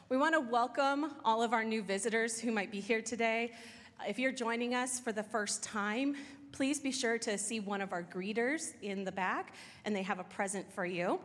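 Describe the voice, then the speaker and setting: A woman giving church announcements from a sanctuary stage, friendly and welcoming as she reads from notes into a handheld mic. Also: on the high side. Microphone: handheld microphone, far camera. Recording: reverberant hall.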